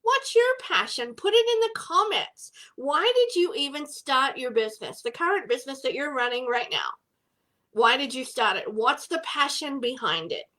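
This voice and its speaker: Woman, middle-aged, live-stream host, bubbly, high pitch, bright tone. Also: expressive, moderate pace, poor audio. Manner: bubbly, greets viewers by name. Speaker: business coach hosting a live chat. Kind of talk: casual live-stream chat.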